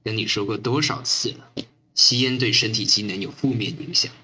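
Guy doing a strict radio drama captain, barks short orders, really hard bright voice, no patience for nonsense. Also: fairly low pitch, fairly quick, a little hiss or hum. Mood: stern. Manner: curt, commanding. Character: stern, coldly logical male riot-squad captain. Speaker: actor in amateur radio drama. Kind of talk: amateur radio drama acting.

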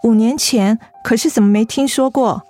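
A woman's voice: fairly high pitch, moderate pace, faint music behind, close to an everyday voice. An actress playing a sweet young female office worker, polite and a little guarded, in a radio drama. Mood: quietly sincere. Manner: polite, soft-spoken, evasive.

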